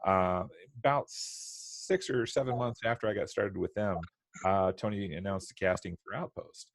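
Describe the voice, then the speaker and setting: Low-voiced man, hesitant talker. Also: fairly even intonation, normal speed, creaky, no room sound. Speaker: volunteer audio drama voice actor. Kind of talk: casual panel chat on a live stream.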